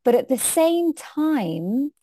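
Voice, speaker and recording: A woman with a British English accent whose first language is Hindi, speaking as an interviewee in a measured, slightly halting way. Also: sits high, big pitch swings, warm tone. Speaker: interviewee, diversity consultant. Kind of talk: reflective interview talk.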